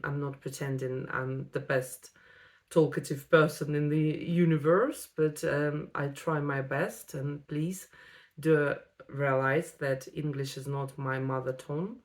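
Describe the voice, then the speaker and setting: A woman, an English speaker, telling a personal story to camera with many ums and pauses. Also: low voice. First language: Russian. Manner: unhurried, many ums and pauses, non-native phrasing. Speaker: vlogger.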